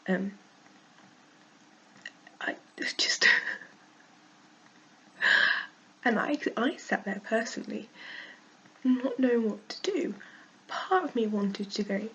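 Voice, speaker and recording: A woman with a cold and a croaky voice, frankly venting in a casual vlog and laughing often. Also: on the high side, expressive, takes it slowly, pauses often, pushed and bright, in a whisper, poor audio. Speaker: vlogger.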